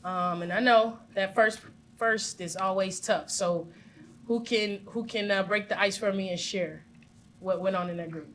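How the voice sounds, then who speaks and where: A woman's voice: medium pitch, steady tone, a little slow, fairly bright tone, slight background noise. A woman facilitating a community meeting on a handheld mic, upbeat and encouraging as she invites people to share. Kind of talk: live meeting hosting. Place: large meeting room with audience.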